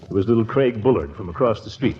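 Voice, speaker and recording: An actor playing a pompous, easily flustered middle-aged uncle who also narrates a 1940s radio comedy in a theatrical, blustering way. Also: male voice, fairly low pitch, animated, fast, noisy background, close to an everyday voice. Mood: nostalgic. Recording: muffled vintage 1940s radio broadcast.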